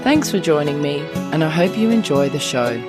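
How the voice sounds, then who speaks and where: A woman's voice: deep voice, animated, moderate pace, over loud music. A middle-aged woman with an Australian accent, hosting a conversational podcast interview.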